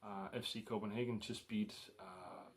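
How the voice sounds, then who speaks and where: A man with a Danish accent talks casually through his record haul, pausing often with ums and uhs. His voice sits a little low. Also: steady tone. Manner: rambling, full of ums and uhs. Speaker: record collector vlogger.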